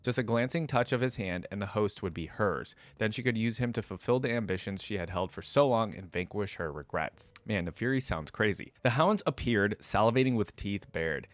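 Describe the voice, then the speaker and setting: Guy doing reaction videos, kinda low voice, really dry recording, casual reading and commenting. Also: animated, brisk, few pauses, dry recording. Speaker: YouTube reaction host. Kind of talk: casual reaction commentary with read-aloud passages.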